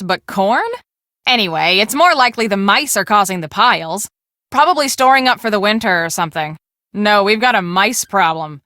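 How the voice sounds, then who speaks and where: A woman playing a busy, bossy young storm-chase researcher in a video game, chatty and brisk as she gives instructions. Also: a little high, pushed and bright, no echo, studio quality. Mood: puzzled, dismissive. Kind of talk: scripted game dialogue.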